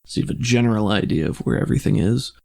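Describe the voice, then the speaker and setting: Male game master, creaky voice at middle pitch, improvised descriptive scene-setting for a tabletop RPG. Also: very fast, a little mellow.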